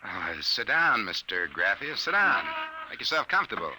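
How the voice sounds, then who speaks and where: An American man playing a hard-boiled, wisecracking private detective in a 1950s radio drama, brisk, sardonic and bossy. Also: on the low side, sing-song, background noise. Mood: skeptical, businesslike. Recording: restored 1950s radio recording.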